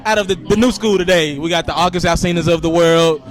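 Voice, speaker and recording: A man's voice: on the high side, fast, over music. A man hosting an interview, eager and constantly affirming his guest. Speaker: interview host. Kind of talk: casual interview, show promo.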